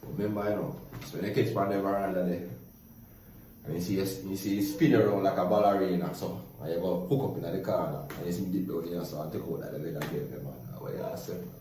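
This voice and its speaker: A man with a Jamaican accent telling lively, laughing stories in a casual chat. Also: deep voice, fast talker, slight background noise. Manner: lively, laughing, animated storytelling in patois. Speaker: guest storyteller. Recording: roomy echo from a distance.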